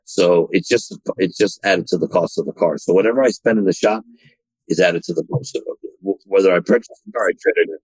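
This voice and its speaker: A man's voice: sits low, a little fast, a little mellow, breathy edge, no echo, poor audio. A man being interviewed on a podcast about running a car dealership, talking in bursts with many restarts and repeated words. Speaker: dealership general manager, guest.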